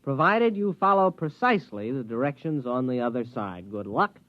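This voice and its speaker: An actor playing an eager, curious amateur hunter in an enthusiastic, chatty 1950s radio style. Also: male voice, mid-range voice, lively intonation, normal speed, no echo, lightly acted. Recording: dull vintage radio recording.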